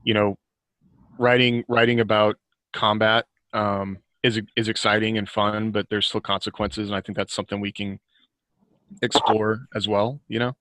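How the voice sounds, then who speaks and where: A man's voice: on the low side, level tone, moderate pace, a bit bright, dry recording, rough recording. A middle-aged man talking casually and reflectively as an author on a podcast, often revising his sentences as he goes. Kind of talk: casual reflective conversation about writing and life.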